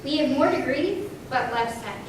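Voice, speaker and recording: A woman reads dramatically on stage in a high voice. She declaims each phrase deliberately, and the sound is very reverberant, with clear background noise. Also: expressive. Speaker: readers theater performer. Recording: very echoey hall, far microphone, noisy hiss.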